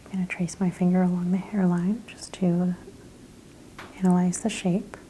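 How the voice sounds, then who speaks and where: A woman whispering gently in an ASMR spa roleplay, softly and slowly describing each thing she does in a breathy voice. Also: lowish voice, little pitch movement, slight background noise. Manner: slow, gentle, step-by-step narration of actions. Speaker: ASMR performer playing a spa practitioner.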